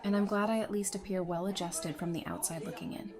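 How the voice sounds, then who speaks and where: A woman's voice: mid-range voice, steady tone, quick pace, music in the background, lightly acted. A woman playing a friendly, easygoing survivor in an audio drama, chatty, informal and reassuring. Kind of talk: fan audio drama acting, casual dialogue.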